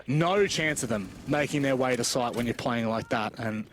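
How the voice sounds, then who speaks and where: A man's voice: medium pitch, normal speed, background noise. A man giving chatty, colloquial live esports play-by-play commentary. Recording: gunfire and clicks behind voice. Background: video game gunfire.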